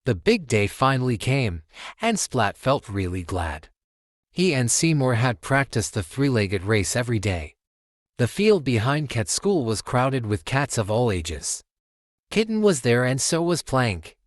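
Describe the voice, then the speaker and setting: A man's voice: medium pitch, big pitch swings, unhurried, no room sound, clean studio sound. A man narrating a children's storybook expressively as a storytime reader.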